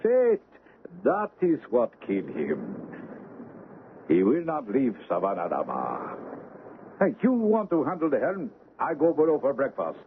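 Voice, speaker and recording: An actor playing a superstitious, fatalistic Haitian sailor in a radio drama, teasing and portentous. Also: male voice, medium pitch, huge pitch swings, measured pace, pauses often. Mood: sly, animated. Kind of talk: old-time radio drama acting. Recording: tinny old radio recording, faint noise.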